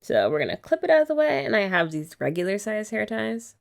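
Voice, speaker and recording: A woman's voice: medium pitch, sing-song, fairly quick, vocal fry. A young woman chatting playfully and teasingly in a girlfriend roleplay ASMR. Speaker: ASMR creator.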